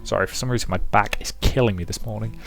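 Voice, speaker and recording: A man's voice: fairly low pitch, quick pace, creaky, fairly bright tone. A man hosting a let's play, reading a visual novel as dramatic, rhythmic narration with some commentary. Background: music.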